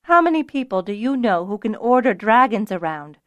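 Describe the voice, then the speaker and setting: A woman's voice: a little high, very animated, moderate pace, no room sound, muffled recording. A woman voicing an intense, grieving young woman with a demanding, emotional manner, in an audiobook story. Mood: insistent.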